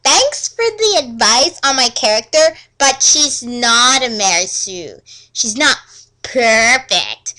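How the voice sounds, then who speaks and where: Defensive teenage author played by a woman, sarcastic, slow exaggerated emphasis, animated pitch, for parody reading. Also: heavily acted.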